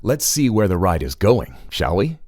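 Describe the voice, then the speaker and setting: A man's voice: fairly low pitch, big pitch swings, moderate pace, very noisy. A man playing an amused storyteller who chats to listeners in a children's audio story.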